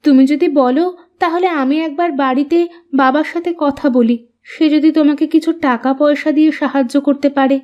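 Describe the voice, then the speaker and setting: A woman's voice: sits high, fairly even intonation, brisk, lo-fi sound, close to an everyday voice. A woman voicing a practical cartoon housewife who is caring but chiding and questioning. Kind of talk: Bengali cartoon voice acting.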